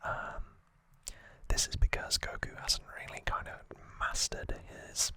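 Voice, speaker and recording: Whispering male ASMR host, crisp and bright. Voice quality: soft unvoiced whisper. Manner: informal, halting, self-correcting explaining. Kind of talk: ASMR whispered trivia recap.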